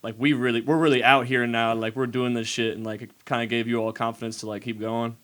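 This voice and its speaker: A man's voice: medium pitch, flat delivery, quick pace, a bit bright. A young man co-hosting a podcast, asking loose, slangy questions in a casual way. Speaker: podcast co-host.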